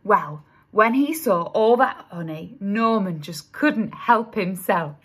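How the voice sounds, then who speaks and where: A woman narrating a children's story expressively, with dramatic, drawn-out emphasis on key words. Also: mid-range voice, expressive, a bit bright, husky. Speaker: storybook reader.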